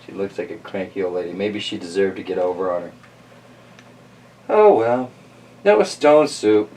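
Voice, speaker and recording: Animated male storybook reader, slightly distant. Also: deep voice, moderate pace, a little hiss or hum. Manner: expressive, informal, chatty. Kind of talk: storybook narration with casual asides.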